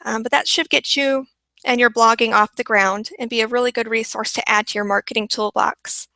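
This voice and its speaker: A woman's voice: on the high side, fairly even intonation, a little fast, gravelly, bright, forceful tone, no room sound. A woman presenting a marketing webinar, upbeat and repeating points for emphasis, her voice worn and going. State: losing her voice.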